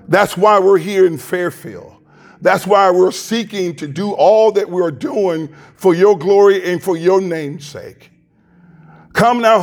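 A man preaching as a pastor, praying and reading scripture in a fervent, deliberate way with a loud voice, into a handheld mic in a church. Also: high voice. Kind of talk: sermon with prayer and scripture reading. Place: church sanctuary. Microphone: handheld microphone.

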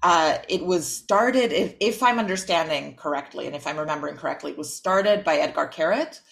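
A young woman, a writer and critic, talking in a relaxed interview and pausing often with ums to find her words. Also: low-pitched, gravelly. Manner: thoughtful, many ums and restarts. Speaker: podcast guest, author and critic. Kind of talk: casual interview conversation.

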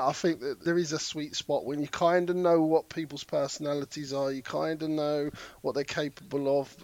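A man's voice: medium pitch, a little mellow, no echo. A man co-hosting a podcast, chatting casually and explaining game rules step by step. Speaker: podcast co-host. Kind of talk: casual board game review chat.

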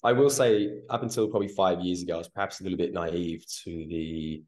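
A man's voice: sits low, fairly even intonation, brisk, darkish tone. A man co-hosting a podcast, giving his opinions in a wry way with joking asides. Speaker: podcast co-host. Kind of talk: casual podcast chat.

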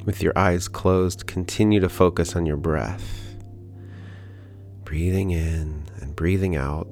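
A man leading a guided prayer meditation in a calm, gentle, unhurried manner with pauses. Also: sits low. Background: background music.

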